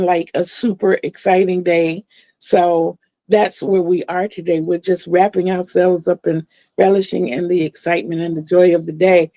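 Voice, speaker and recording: A woman's voice: lowish voice, warm tone, no room sound. A woman in her sixties chatting casually to viewers from home, laughing often and repeating words. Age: 60s. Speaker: livestream vlogger. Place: home room lit by a floor lamp.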